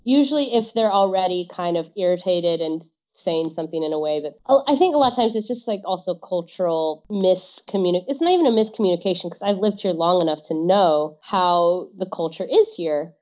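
A woman's voice: fairly low pitch, lively intonation, brisk, hardly any pauses, a little mellow. Chatty woman guest, laughs easily, loose rambling delivery, dull lo-fi sound. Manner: chatty, laughs often, trails off. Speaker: English teacher. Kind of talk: casual podcast chat. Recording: dull lo-fi audio.

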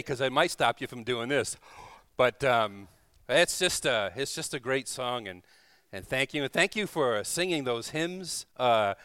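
Clear, bright-voiced man preaching informally. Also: medium pitch, dry recording, studio quality. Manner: folksy, conversational, seeks audience agreement with tag questions. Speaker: preacher, visiting church leader. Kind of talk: informal sermon to a congregation, with scripture reading and prayer. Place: speaking live in a church.

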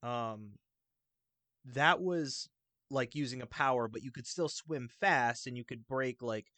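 A man chatting casually about video games in a rambling, halting, enthusiastic way. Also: medium pitch, bright, forceful tone, no echo. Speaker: video game podcast panelist.

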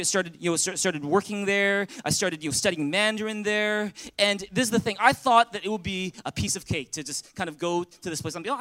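A man's voice: high-pitched, a bit bright. A man from Canada, a pastor, preaching an earnest, conversational sermon in a church and calling on the congregation to answer. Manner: earnest, conversational preaching with audience call-and-response. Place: church sanctuary.

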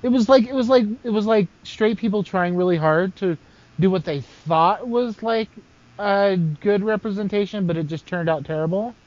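A man with a fairly high voice talks on a casual podcast, hesitating and stammering as he starts each thought. The recording is dull and lo-fi. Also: moderate pace, darkish tone.

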